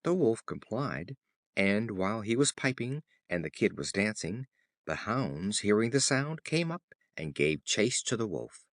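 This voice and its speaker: A man reading children's fables as an audiobook narrator, in a measured, formal storybook style. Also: on the low side, big pitch swings, no echo, clean studio sound.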